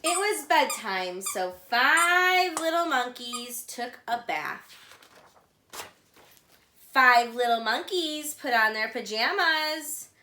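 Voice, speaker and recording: A woman with a high, bright voice hosts a children's storytime in an echoey room. She reads a picture book aloud with deliberate, singsong phrasing aimed at little listeners. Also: expressive, slight background noise.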